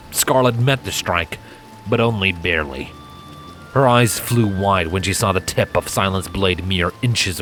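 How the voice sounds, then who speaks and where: A man reading a dramatic fantasy story as a scripted narrator, measured and deliberate. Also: low-pitched, fairly bright tone. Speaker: fan fiction narrator.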